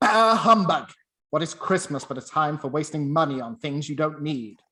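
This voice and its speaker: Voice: medium pitch, normal speed, pushed and bright, natural voice. A performer playing a miserly, bitter old businessman in a radio play, curt and scornful. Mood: loud, disgusted.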